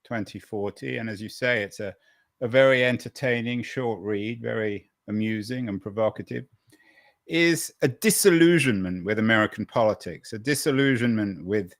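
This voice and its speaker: A man's voice: lowish voice, normal speed, no room sound, clean studio sound. A man hosting an interview, asking long, probing questions with hesitations. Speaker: podcast host and interviewer.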